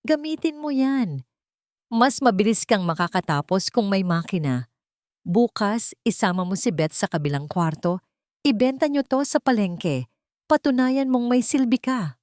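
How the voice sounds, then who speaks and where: A woman's voice: medium pitch, animated, a little slow, darkish tone, dry recording, studio quality, pitched above the performer's own voice, natural voice. A woman with a Filipino accent voicing an irritable, world-weary old landlady in a curt, scolding manner, in a narrated story. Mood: stern.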